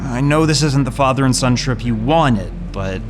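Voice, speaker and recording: A man's voice: mid-range voice, expressive, normal speed, natural voice. A man playing a weary but reassuring middle-aged father in an audio drama. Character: weary, reassuring father fleeing with his son. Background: music.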